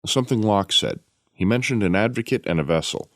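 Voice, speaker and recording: An actor playing a skeptical, practical police officer asking questions in an audio drama. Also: male voice, lowish voice, expressive, fairly quick, a bit bright, no room sound, studio quality.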